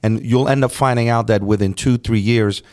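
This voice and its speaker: A man co-hosting a podcast, giving relaxed advice in casual chat. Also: medium pitch, normal speed. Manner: laid-back, advice-giving, "you feel me". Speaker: podcast co-host.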